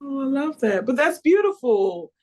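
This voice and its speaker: An American woman opera singer being interviewed, talking about her work in an earnest, warm and emphatic way. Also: high-pitched, sing-song, moderate pace. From United States.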